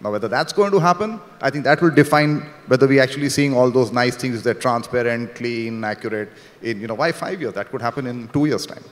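A man on a conference panel sets out his argument in measured steps, filling pauses with "uh". His voice is mid-pitched. It comes through a handheld mic in a large, echoing hall. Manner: measured, explanatory, with fillers. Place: large conference stage with a long reverb. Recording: hall reverb, stage PA.

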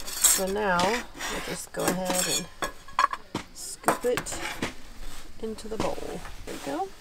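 Woman host, chatty cooking walkthrough, heard from a distance over clear background noise. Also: mid-range voice, slow. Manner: chatty, narrates each step. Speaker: cook and channel host. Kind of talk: casual cooking demo. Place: outdoors at night by a camper kitchen.

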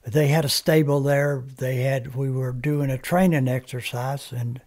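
An older man, a native Comanche speaker, telling stories in plain, halting talk as a podcast guest in a small studio, close on a standing mic. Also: medium pitch, fairly even intonation, darkish tone. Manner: halting, plain-spoken storyteller. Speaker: guest, veteran. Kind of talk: reminiscing interview talk. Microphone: studio mic on stand, headphones.

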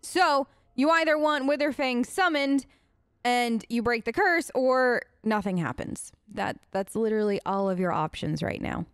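A woman's voice: on the high side, measured pace, fairly bright tone, clear, resonant tone, no room sound, clean studio sound. A woman hosting a role-play let's play, talking casually and informally as she improvises in character.

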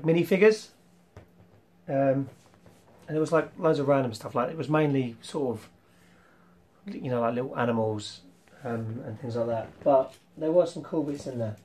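A young man hosting a casual retro collection vlog, rambling with ums and clearing his throat, his voice slightly worn from losing it. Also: on the high side, a little slow, long pauses, a little mellow. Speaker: retro game collector vlogger. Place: small room full of toy shelves, indoors.

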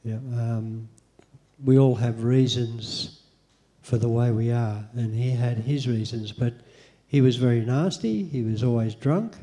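A middle-aged Australian man speaking on stage into a handheld mic, halting with many ums as he answers interview questions. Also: mid-range voice, dark tone. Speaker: guest speaker. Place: convention hall stage.

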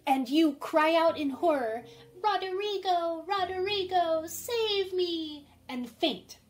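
Woman playing a high-voiced, spirited tomboy girl. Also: animated, takes it slowly, slight background noise, heavily acted. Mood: bossy, theatrical. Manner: exclamatory, eager, dramatic. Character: spirited tomboy teenage girl, bold and theatrical. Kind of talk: amateur radio drama acting.

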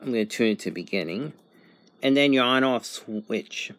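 A man giving a casual unboxing and review narration in a halting, methodical way, in short phrases. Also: mid-range voice, lively intonation, fairly bright tone. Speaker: product reviewer.

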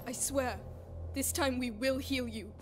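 High-voiced, determined teenage girl. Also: steady tone, a little slow, background noise. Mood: tender, subdued. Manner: earnest, emotional. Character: determined teenage girl, protective big sister. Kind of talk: dramatic video game acting. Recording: game soundtrack music behind.

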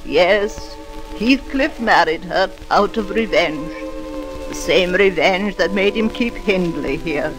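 An actress playing an old maidservant, narrating a 1940s radio drama in a hushed, solemn way. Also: female voice, fairly low pitch, animated, slow, rough recording. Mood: somber. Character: old maidservant solemnly telling her story. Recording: old radio recording, music behind.

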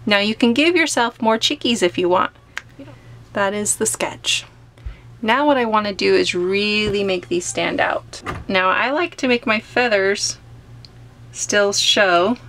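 A woman art teacher explains each brushstroke in a gentle, unhurried way as she paints. Her mid-height voice has a gravelly, fry-tinged edge, with a faint hiss of room noise underneath. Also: medium pitch. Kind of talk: step-by-step painting tutorial. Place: home studio, overhead camera on painting table.

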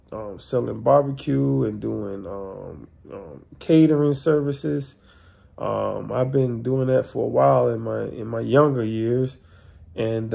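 A man in his thirties chatting casually into a phone held at arm's length while he lies back on a couch in his living room. He rambles in a relaxed way and says "man" and "um" a lot. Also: medium pitch, gravelly, breathy edge. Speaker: vlogger and fitness cook. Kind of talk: casual chat.

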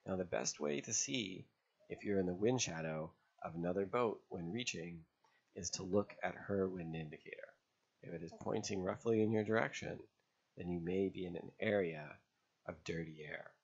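A man narrating an instructional lesson as an instructor, explaining step by step in a measured way with pauses. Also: low-pitched, creaky, breathy edge, muffled recording. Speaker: sailing instructor. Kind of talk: instructional narration over drawn diagrams.

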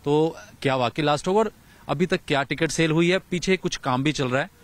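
A man's voice: on the high side, brisk. A man, reporting and interviewing as a sports journalist outdoors into a handheld microphone. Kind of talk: on-location news reporting and interviewing. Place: outdoors in a large empty cricket stadium.

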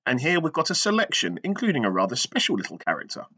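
A man's voice: medium pitch, wildly swooping pitch, quick pace, noisy background. A man in his thirties hosting a kids' activity video from a small brick-walled room, talking back to a silent toy and joking as he explains. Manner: jokey, teacherly, talks back to a silent toy. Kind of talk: playful talk to a toy puppet. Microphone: webcam in front of him.

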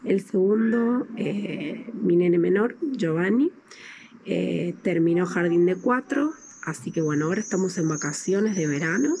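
A woman's voice: lowish voice, lively intonation, a little slow, a little mellow, a little hiss or hum. A woman in her thirties with an Argentine accent giving a casual personal update, recorded outdoors on a phone. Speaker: guest sending a video greeting. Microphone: phone at arm's length.

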